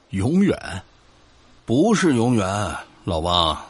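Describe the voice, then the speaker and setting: Man acting needling, pedantic drinking buddy, animated pitch. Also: extremely slow, breathy edge, dry recording, full character voice. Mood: teasing, contrary. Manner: needling, lecturing, scoffing. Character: argumentative, pedantic man drinking with his old friend. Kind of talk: Chinese sci-fi audio drama dialogue.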